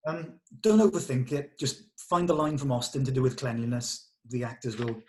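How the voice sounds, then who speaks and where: A man leads a relaxed script discussion at a table read. He explains things conversationally and hands out direction in an ordinary mid-pitched male voice. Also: normal speed. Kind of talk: casual script discussion with actors.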